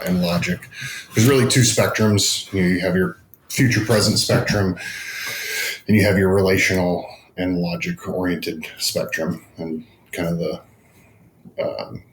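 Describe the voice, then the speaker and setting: Man, panelist, roomy echo, noticeable noise, conversational explaining. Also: sits low. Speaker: panelist at a law firm business. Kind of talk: casual explanatory talk.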